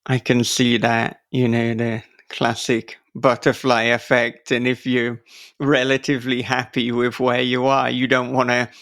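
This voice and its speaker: A man's voice: mid-range voice, monotone, unhurried, a bit bright, no echo, studio quality. A man hosting a podcast in a casual, conversational interview style.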